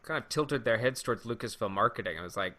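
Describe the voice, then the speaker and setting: Man, podcast host, low-pitched, bright forceful voice, casual discussion. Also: animated, fast.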